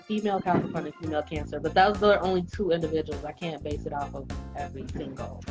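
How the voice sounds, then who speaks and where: A woman's voice: deep voice, steady tone, fast, over music. A woman in her late twenties on a group video call, playful and giggly, asking the others questions. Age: late 20s. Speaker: guest.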